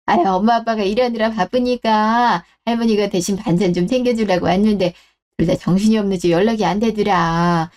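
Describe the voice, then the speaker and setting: A woman's voice: mid-range voice, steady tone, fairly quick, darkish tone, natural voice. A woman playing an elderly, doting mother and grandmother in a radio drama, apologetic, sighing and rambling as she talks. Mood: fond, doting. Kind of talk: Korean radio-drama story acting.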